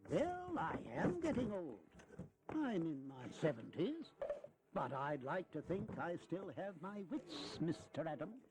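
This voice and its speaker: A man's voice: high voice, sing-song, a little slow. A man playing a jovial, witty statesman in his seventies in a history audio drama, with a teasing, jocular manner. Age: 70s. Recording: crowd noise behind.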